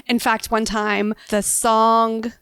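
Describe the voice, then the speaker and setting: A woman of fifty with a somewhat high voice, co-hosting a podcast. She tells lively personal stories, and the recording is dry and close. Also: slow pace, clean tone, no echo. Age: 50s. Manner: storytelling, animated anecdotes. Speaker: podcast co-host, dietitian. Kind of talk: casual chat.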